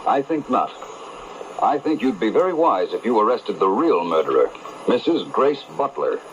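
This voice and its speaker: A man's voice: mid-range voice, big pitch swings, moderate pace. An actor playing a shrewd, urbane detective in an old radio mystery, explaining his case with assurance. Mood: assured. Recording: dull, hissy AM radio reception.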